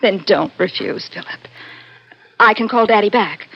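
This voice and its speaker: An actress playing an earnest, pleading young daughter of a scientist in a 1960s radio drama. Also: female voice, mid-range voice, a little slow, husky. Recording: muffled vintage radio recording.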